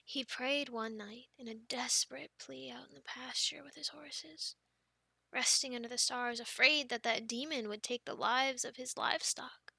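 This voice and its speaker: Casting: actress as young female friend in ASMR roleplay, high-pitched, expressive storyteller, close dry sound. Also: normal speed, no echo, full character voice. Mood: hushed, solemn. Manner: expressive, teasing, breaking into breathy near-whispers. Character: playful young woman friend, pouty, defensive, telling campfire stories.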